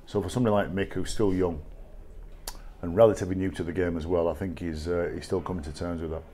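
A middle-aged English man speaks in a very low, mellow voice. He answers press questions in a measured, halting way, with plenty of fillers and restarts. A faint hiss sits under his voice in a small press room. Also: steady tone. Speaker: rugby league head coach. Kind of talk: post-match press conference answers. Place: small press room with sponsor backdrop, seated at table. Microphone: table microphone.

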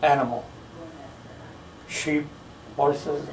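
An elderly man born in the United States, slowly recalling his childhood in a home interview, with halting pauses as he searches for details. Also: on the high side, steady tone, a little hiss or hum. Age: older. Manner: slow, halting storytelling with many pauses. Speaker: interviewee recounting his life. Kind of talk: family oral history interview. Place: living room, seated in a recliner. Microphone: camera mic from across the room. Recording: roomy home video from a distance.

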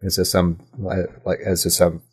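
A man's voice: deep voice, little pitch movement, a little slow, a little mellow, husky. A man speaking as an interview guest, thoughtful and self-critical, choosing his words hesitantly in casual talk. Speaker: podcast guest, audio drama writer.

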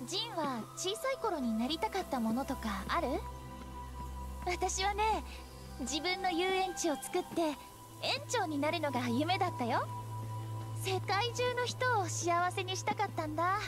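An earnest, cheerful young woman, with a very high, lively voice. She speaks gently and at an unhurried pace. Game music plays under her. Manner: bright, gentle, pleading. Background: game score music.

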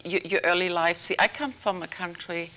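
A woman from Algeria hosting a small studio talk show, gently prompting her guest with hesitant, filler-laden questions. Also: fairly low pitch, normal speed. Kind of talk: interviewing a guest.

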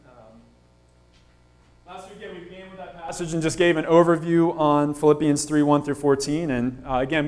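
Man preaching in a small church. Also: mid-range voice. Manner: steady preaching with frequent ums and restarts. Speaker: pastor. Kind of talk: sermon.

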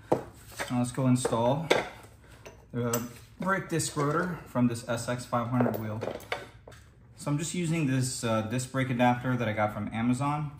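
A man's voice: mid-range voice, noisy background. A man giving a plain, step-by-step voice-over as he walks through a scooter repair. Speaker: DIY scooter builder. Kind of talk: tutorial voice-over.

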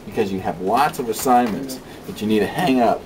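Low, distant man giving advice. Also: a little fast, a crowd in the background. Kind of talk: casual advice. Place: office study carrel. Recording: distant camera mic, background voices.

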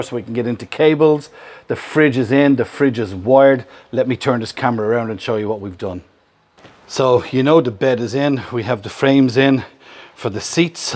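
A man's voice: mid-range voice, darkish tone. A man in his fifties with an Irish accent, hosting a casual camping vlog, chatty and upbeat as he explains the work, filmed on a camera held at arm's length in a workshop and a van. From Ireland. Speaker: vlogger host. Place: workshop yard and inside a van under build.

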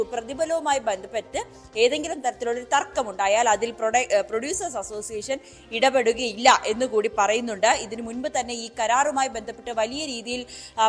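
High-pitched young female reporter, hesitant. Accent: Kerala. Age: young adult. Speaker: news reporter. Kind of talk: live news report. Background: news music bed.

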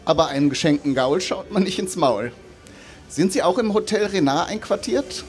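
A man's voice: a little high, lively intonation, normal speed, faint music behind, natural voice. A man playing a polite, guarded Soviet military engineer in a live radio play.

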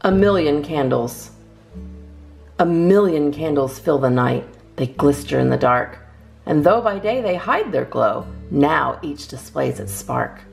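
Low-voiced woman reading children's poems aloud. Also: animated, unhurried, vocal fry, soft background music. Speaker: children's storytime host and reader.

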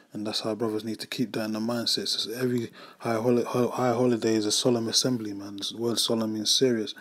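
A man preaching in a loose, conversational way. His voice sits low and stays fairly flat in pitch, and he keeps tagging his lines with "man" and "you know". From United Kingdom. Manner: casual, repeats "man" and "you know", frequent restarts. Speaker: religious group member. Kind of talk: informal preaching upload. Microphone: voice-over on screen recording.

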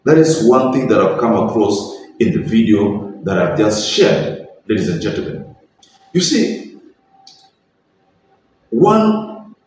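A man's voice: fairly low pitch, darkish tone, a little hiss or hum. A young man with a Kenyan accent giving earnest, opinionated political commentary to camera from a small bedroom, slipping in Swahili phrases. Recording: very echoey room, slightly distant mic.

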